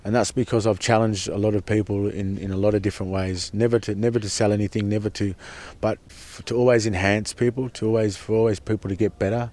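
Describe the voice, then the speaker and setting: Deep-voiced earnest man. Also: little pitch movement, fast, hardly any pauses, darkish tone. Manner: earnest, repeats and restarts words. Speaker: protester at a sacred site camp. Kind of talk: impassioned personal testimony.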